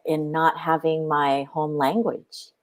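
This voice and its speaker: A woman guest on a podcast, telling stories in a musing, reflective way with animated asides. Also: deep voice, takes it slowly. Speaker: podcast guest, spiritual companion. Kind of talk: reflective conversation.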